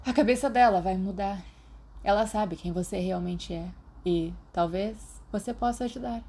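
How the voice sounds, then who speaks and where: A woman with a Brazilian Portuguese accent voicing a lively, teasing teenage girl in a podfic reading. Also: mid-range voice, normal speed, breathy edge, close to an everyday voice. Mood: reassuring.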